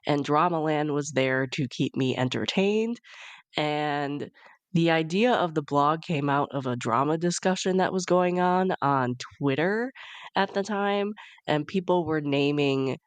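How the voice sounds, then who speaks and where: A woman's voice: low-pitched, measured pace, smooth, dry recording. A young woman hosting a casual solo podcast, chatty and upbeat, sounding congested from a cold. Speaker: podcast host.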